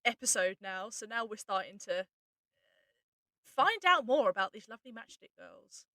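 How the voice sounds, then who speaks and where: Bright, forceful woman chatting informally. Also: on the high side, sing-song, moderate pace, no echo. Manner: informal, explanatory, breaks off mid-thought. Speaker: writer and co-host. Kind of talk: casual behind-the-scenes discussion.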